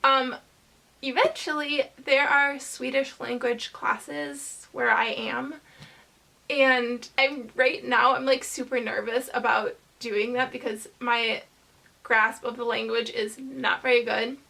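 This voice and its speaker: A woman vlogger chatting casually to camera, rambling hesitantly with lots of fillers and pauses. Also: on the high side, fairly even intonation, gravelly, pushed and bright, poor audio.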